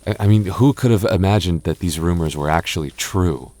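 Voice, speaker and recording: A young man in a close-mic boyfriend roleplay, talking softly with halting restarts and light jokes. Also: sits low, no echo. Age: young adult. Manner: soft-spoken, halting, jokey and reassuring; slow measured reading of a rhyme.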